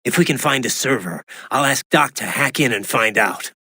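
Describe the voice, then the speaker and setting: A gruff cyborg fighter for a video game. The voice is raspy and full of gravel, with a hard, forceful edge. He talks in short, dry, informal lines with a wry, tough temper. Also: medium pitch, animated, moderate pace, full character voice. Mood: wry, casual. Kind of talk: scripted video game voice acting.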